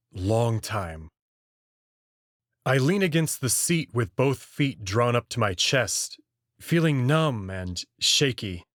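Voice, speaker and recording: A man narrating a first-person sci-fi story in a slow, measured reading. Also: mid-range voice, fairly bright tone, no echo, clean studio sound.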